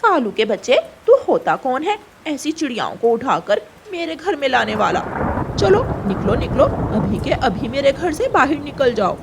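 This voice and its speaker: A woman acting as a snooty cartoon crow wife with a high voice that swings sharply. She is bossy, snappish and quick to scold. Rain and thunder noise sits clearly behind her. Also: big pitch swings, heavily acted. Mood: haughty, angry. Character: haughty, ill-tempered female crow, scolding wife. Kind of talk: Hindi children's cartoon story acting.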